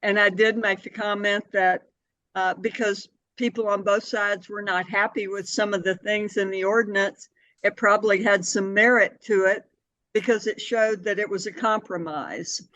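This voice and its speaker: An older woman giving measured, explanatory answers in a conversational interview. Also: mid-range voice, steady tone, fairly bright tone, no room sound. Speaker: interview guest, city board member and anti-hunger advocate.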